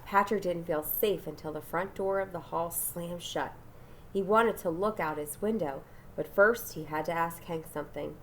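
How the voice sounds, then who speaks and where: A woman's voice: lowish voice, normal speed, a little hiss or hum, poor audio. A woman reading a children's storybook aloud dramatically, with asides and questions to her young listeners. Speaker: storybook reader.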